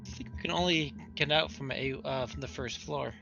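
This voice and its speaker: A man's voice: medium pitch, fairly quick, creaky, lo-fi sound, noisy background. A man talking casually as a player in a tabletop roleplaying game.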